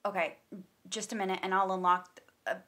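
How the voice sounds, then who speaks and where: A woman's voice: medium pitch, flat delivery, brisk, fairly bright tone, a little hiss or hum, close to an everyday voice. A woman playing a guarded, blunt massage therapist in an audio play, speaking firmly and curtly. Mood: wary, relenting. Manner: firm, curt.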